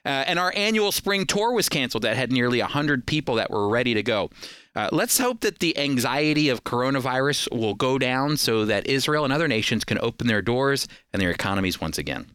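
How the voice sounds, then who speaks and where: Bright, clear-voiced American man hosting. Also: mid-range voice, very animated, brisk, no echo, studio quality. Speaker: radio program host and Bible teacher. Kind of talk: conversational radio teaching and commentary. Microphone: close studio microphone.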